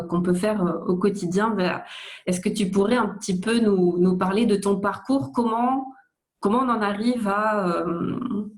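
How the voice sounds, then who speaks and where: A woman's voice: medium pitch, a little mellow. A woman hosting an interview, speaking hesitantly with many fillers and restarts. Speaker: interviewer, host. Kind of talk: casual interview.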